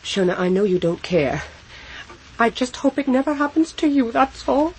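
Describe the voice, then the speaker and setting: A woman's voice: on the high side, animated, normal speed, darkish tone, slight background noise, rough recording. An actress playing a flustered, grieving mother who speaks hesitantly and pleadingly in a radio drama. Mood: distraught, pleading. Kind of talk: broadcast radio drama acting.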